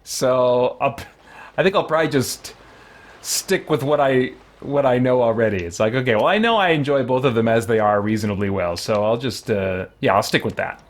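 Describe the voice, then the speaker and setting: A man giving casual, halting live-stream commentary on a game, thinking aloud as he goes. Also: medium pitch.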